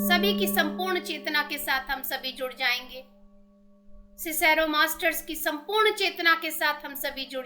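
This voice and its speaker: An Indian woman leading a guided meditation in a measured, devotional manner with long pauses. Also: high-pitched. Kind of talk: guided meditation, slow instructions and invocations. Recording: meditation music with singing bowls behind. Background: soft music and singing bowl tones.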